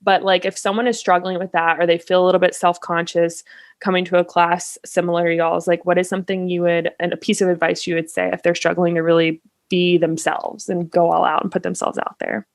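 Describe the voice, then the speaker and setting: A woman's voice: on the low side, flat delivery, brisk, creaky, no echo, studio quality. A woman hosting a casual conversational interview, speaking in an informal, encouraging and affirming way. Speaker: podcast host interviewing guests; fitness class instructor and coach.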